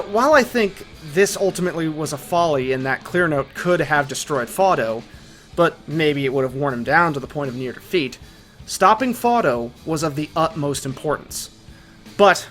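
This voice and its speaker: A man's voice: a little high, a bit bright. A man giving scripted, emphatic storytelling narration as the narrator of an analytical video essay. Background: background music.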